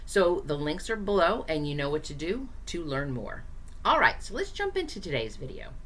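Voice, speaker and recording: Low-voiced hoarse woman teaching. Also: very animated, normal speed, a bit bright, a little hiss or hum. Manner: chatty, direct, encouraging, with frequent fillers. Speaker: host, small business marketing coach. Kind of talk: casual teaching to camera.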